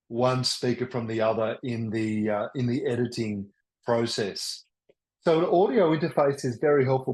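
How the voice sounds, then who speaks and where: Loud, enthusiastic older man teaching. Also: medium pitch. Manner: halting, with many restarts. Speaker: workshop presenter. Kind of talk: teaching a webinar. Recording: roomy echo.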